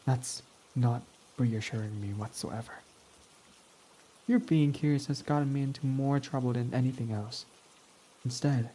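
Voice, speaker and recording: A man's voice: mid-range voice, mellow, slight background noise, close to an everyday voice, poor audio. A man voicing a prickly, snarky male villain in an ASMR roleplay, talking in a sarcastic, mocking, dismissive way.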